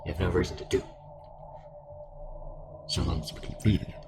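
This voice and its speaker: A man playing a calm, sinister cult fanatic fused with a devouring entity, taunting in measured monologue in an audio drama. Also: deep voice, gravelly, noisy background. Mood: ominous, grave. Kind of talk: dramatic audio roleplay acting. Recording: music under the voice.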